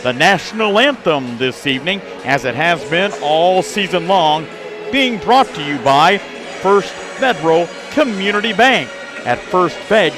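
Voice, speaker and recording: A man hosting a live local sports radio broadcast from a gymnasium, with an announcer-like delivery. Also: high voice. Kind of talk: live pregame sports broadcast with sponsor reads. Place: indoor high school basketball gymnasium. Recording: busy gym crowd behind the voice. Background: crowd and bouncing basketballs.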